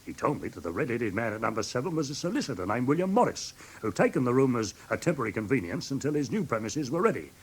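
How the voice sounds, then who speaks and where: Flustered husky English pawnbroker, lively pitch. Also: male voice, fast, hardly any pauses. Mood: aggrieved. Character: indignant, simple-minded London pawnbroker, wronged and fussy. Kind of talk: classic British radio drama acting.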